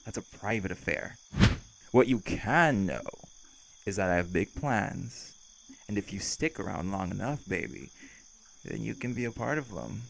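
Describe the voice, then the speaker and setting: A man's voice: lowish voice, expressive, measured pace, vocal fry, heavily acted, rough recording. A man playing a cheerful, cocky vampire who teases, flirts and casually threatens in an intimate audio roleplay. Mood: flirty, smug.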